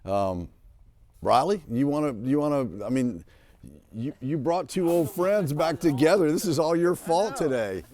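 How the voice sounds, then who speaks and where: A man's voice: a little high, animated, unhurried, darkish tone. A man hosting a casual interview chat, speaking in an encouraging, affirming way. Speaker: show host.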